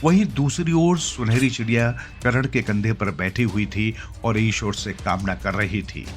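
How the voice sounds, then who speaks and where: A man's voice: fairly low pitch, unhurried. A man narrating a scripted fantasy story for children as its storyteller. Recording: clear music bed under the voice. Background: background music.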